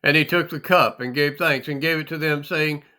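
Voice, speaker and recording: A man giving a solemn, deliberate reading of scripture, with dramatic emphasis on the phrases. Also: on the high side, steady tone, bright, forceful tone. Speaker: scripture reader.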